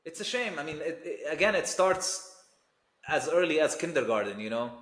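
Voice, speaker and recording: A man's voice: a little high, very animated, normal speed, fairly bright tone. A man speaking as a doctor being interviewed, critical and repeating his points for emphasis, in an echoey room. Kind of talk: casual interview talk.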